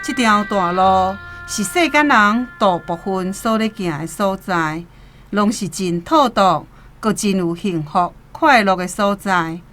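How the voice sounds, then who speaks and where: A woman's voice: medium pitch, unhurried, fairly bright tone, soft background music, close to an everyday voice. A woman playing a sly old roadside guide who coaxes and persuades, in a Taiwanese radio drama. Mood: enticing. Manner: coaxing, persuasive.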